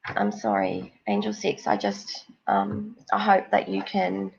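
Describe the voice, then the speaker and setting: A woman with a cold and a snuffly nose, giving psychic card readings on a live stream in a slow, rambling, reassuring way. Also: medium pitch, sing-song, gravelly, breathy edge, lo-fi sound.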